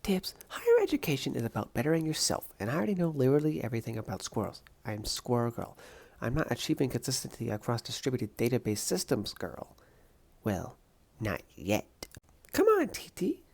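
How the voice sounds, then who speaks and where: A woman's voice: very deep voice, huge pitch swings, a little fast, a little mellow, heavily acted. A woman voicing an upbeat young superhero college student in a comic dub, perky, chatty and emphatic. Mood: earnest, insistent. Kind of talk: comic book dub voice acting.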